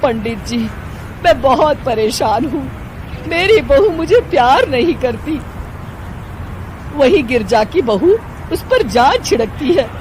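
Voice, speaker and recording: A woman playing a jealous, meddling older aunt in an animated moral story, scheming and scornful in manner. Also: sits high, full character voice, low-quality recording. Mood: troubled. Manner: scheming, scornful, drawn-out complaining. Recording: clear background noise, outdoor street ambience.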